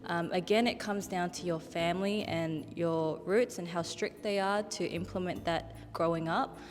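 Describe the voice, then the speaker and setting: A woman's voice: on the low side, steady tone, normal speed. A woman, a panel guest, speaking earnestly in a conversational discussion and starting her thoughts with "um". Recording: long reverb, faint background music.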